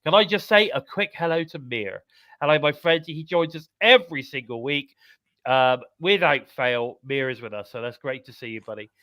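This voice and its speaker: British male podcast host, stuffed-up nose, bright upbeat voice, dry close mic. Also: medium pitch, normal speed, no room sound. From United Kingdom. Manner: chatty, upbeat, repeats calls to subscribe. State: congested, bunged up. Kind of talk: live wrestling podcast chat.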